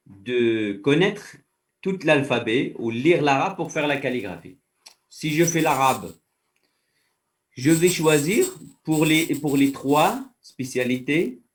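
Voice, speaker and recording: A man's voice: a little high, recorded from a distance. A man, a faculty director, giving explanatory answers as an interview guest and patiently walking through first-person examples.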